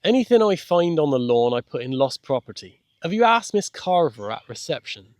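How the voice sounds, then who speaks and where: A man's voice: a little high, sing-song, normal speed, darkish tone, no room sound. A man voicing a cheery middle-aged groundskeeper in a children's audiobook, kindly and easygoing, with chirpy colloquial speech. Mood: helpful, curious. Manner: colloquial, chirpy, dropped grammar. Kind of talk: children's audiobook character dialogue.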